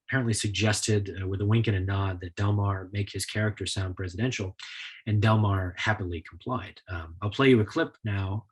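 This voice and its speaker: A man's voice: fairly low pitch, fairly bright tone, husky. An American man speaking as a historian, delivering a prepared lecture in an explanatory style.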